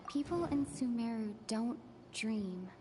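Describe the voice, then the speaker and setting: A woman's voice: fairly high pitch, expressive, slow, background noise. A woman playing a weary young woman in a fantasy video game. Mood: weary, puzzled.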